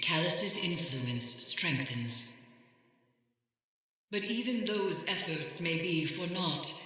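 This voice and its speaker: A woman's voice: on the low side, sharp tone, very echoey, big-room sound. An actress voicing a grave, foreboding mystic woman who advises a warrior in a video game, speaking solemnly and formally. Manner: solemn, deliberate, formal, ominous. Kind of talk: scripted video game dialogue.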